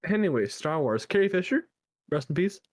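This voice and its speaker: A man's voice: fairly high pitch, big pitch swings, fairly quick, no echo, studio quality. A man with a Chicago accent co-hosting a casual podcast, playful and laughing often. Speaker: podcast co-host. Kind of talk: casual chat.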